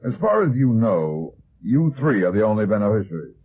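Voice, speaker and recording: An actor playing a tough, shrewd private detective in a 1950s radio drama, speaking in blunt, terse questions. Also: male voice, on the low side, big pitch swings, a little fast, slightly rough. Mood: brisk, questioning. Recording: muffled, thin vintage 1950s radio recording.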